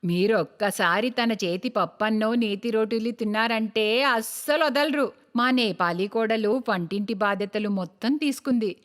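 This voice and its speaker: A woman playing a gracious middle-aged mother-in-law in an animated moral story, polite and appreciative. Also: on the high side, unhurried, natural voice. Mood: pleased.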